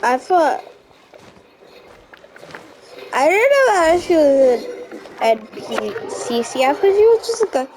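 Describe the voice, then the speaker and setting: A woman's voice: high voice, very animated, slow, long pauses, noisy background. A woman streaming a game with casual, exclamatory live reactions.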